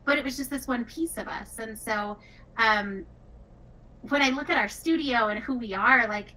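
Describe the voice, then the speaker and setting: A woman's voice: fairly high pitch, moderate pace, sharp tone. A woman talking casually on a panel as a game writer and director. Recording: distant mic with faint noise.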